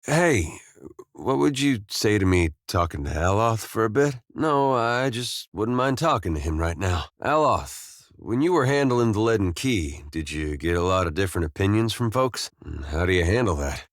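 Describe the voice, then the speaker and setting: An actor playing an easygoing, wry, war-weary farmer turned adventurer in a video game, talking in a folksy, understated way with short, plain phrases. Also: male voice, lowish voice, very animated, moderate pace, no room sound, clean studio sound. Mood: casual, probing. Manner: folksy, laid-back, understated, drawling short clipped phrases. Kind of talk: video game companion dialogue.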